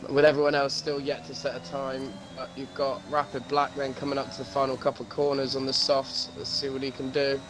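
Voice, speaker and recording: A man's voice: medium pitch, level tone, a little fast, few pauses. A man co-commentating a live online race, bantering and greeting viewers from chat. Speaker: sim racing co-commentator. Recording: race game engine noise behind voice.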